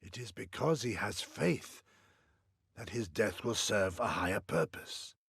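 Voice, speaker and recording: An actor playing an earnest, proud samurai in a video game, speaking formal archaic lines with dramatic pauses. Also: male voice, on the low side, expressive, vocal fry, a bit bright, slightly rough, dry recording, studio quality, heavily acted. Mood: solemn, stirred. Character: earnest, theatrical samurai warrior, proud and inquisitive.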